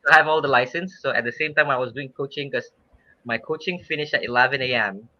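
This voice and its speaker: A man's voice: mid-range voice, quick pace. A man in his thirties from Malaysia, a swimming coach talking easygoingly with many fillers and some laughter. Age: 30s. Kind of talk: casual podcast interview. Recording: dull lo-fi sound.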